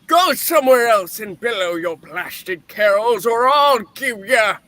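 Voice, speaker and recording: A man's voice: extremely high pitch, lively intonation, measured pace, bright, forceful tone, dry recording, full character voice. A man playing a cranky, miserly old boss in a radio-play reading, blustering and snappish with bitter scorn. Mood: angry.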